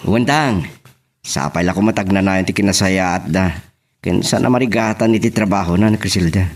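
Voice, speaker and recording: A man's voice: low-pitched, normal speed, natural voice. A man acting a devoted farmer husband in an audio drama, with an Ilocano Filipino accent. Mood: wistful. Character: devoted husband, a farmer, defending his absent wife.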